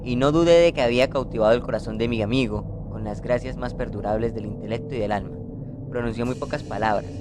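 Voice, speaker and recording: A young man narrates a literary story from a script. His voice sits at a middle pitch for a man, with music plainly heard behind it. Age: young adult. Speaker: student narrator.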